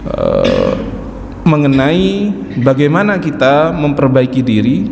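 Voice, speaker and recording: A man in his forties delivers a patient religious lesson, his pitch sitting in an ordinary middle range. The sound rings with long reverb through a big hall, and a faint room hum stays underneath. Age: 40s. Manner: calm explaining, frequent affirming "ya". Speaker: religious teacher. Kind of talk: mosque study-circle lecture. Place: large mosque hall. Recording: echoey mosque hall with faint ambience.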